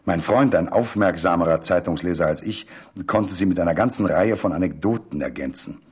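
A man reading literary narration for a radio production in an articulate, measured and expressive storytelling manner. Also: deep voice, slightly rough.

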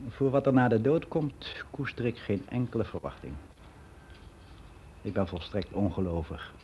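A man's voice: fairly low pitch, animated, moderate pace, mellow, a little hiss or hum, close to an everyday voice. An actor playing a candid, reflective older man, confiding matter-of-factly in a conversational radio drama monologue. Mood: sober, reflective. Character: candid, reflective ageing man, worldly and unbelieving.

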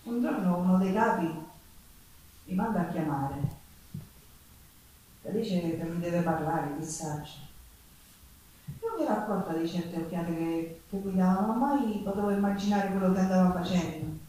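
A woman's voice: on the low side, moderate pace, pauses often, warm tone, lightly acted. An actress playing a poor, fierce Sicilian working woman who recounts her hard life in an earthy, dramatic stage monologue with a Sicilian accent. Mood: reflective, guarded. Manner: dramatic, earthy storytelling in dialect-laced speech. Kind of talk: theatrical monologue with folk singing. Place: theatre performance, with guitar music behind. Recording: echoey theatre stage, distant microphone.

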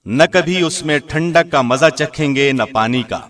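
A man reading a scripture translation in a formal, measured radio-host style. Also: a little high, a bit bright.

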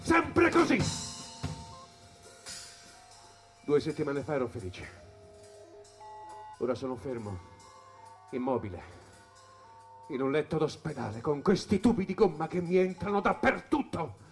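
An actor playing a troubled, bitter jazz musician confined in a hospital, in a dramatic theatrical monologue. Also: male voice, fairly high pitch, wildly swooping pitch, normal speed, long pauses, faint music behind. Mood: brooding. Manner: dramatic, swinging from hushed murmurs to shouted outbursts.

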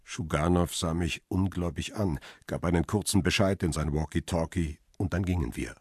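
A hunted photographer narrating his own radio thriller. His voice is very deep and husky, recorded close and dry. He describes things in a measured, tense way. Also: a little mellow, dry recording. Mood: tense, restrained. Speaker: narrator and protagonist. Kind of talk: radio crime drama narration.

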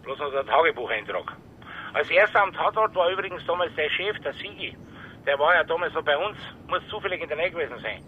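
A cautious Bavarian policeman passing on inside information. His voice is on the high side and informal. It comes through a thin, narrow telephone line with a faint rumble. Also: expressive, fast talker. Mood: matter-of-fact. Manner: informal, confidential. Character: male police informant, confidential, cautious colleague. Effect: telephone filter. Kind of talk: naturalistic radio crime drama acting.

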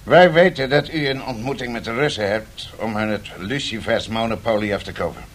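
An actor playing a secretive, persuasive financial envoy in a radio drama, speaking in a formal, deliberate way. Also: male voice, on the low side, natural voice. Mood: measured, secretive.

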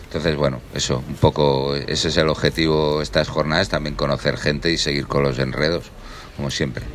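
Very deep-voiced Catalan man. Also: normal speed, slight background noise. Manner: relaxed, rambling, self-correcting. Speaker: activist introducing himself. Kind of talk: casual self-introduction to a group.

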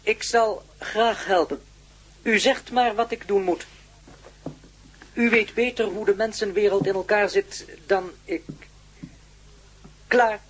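An actor in a Dutch radio play voicing a polite, calm alien from an ancient race, speaking formally and matter-of-factly. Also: medium pitch, slightly rough. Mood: calm, courteous. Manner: formal, measured, matter-of-fact. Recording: noisy radio play recording.

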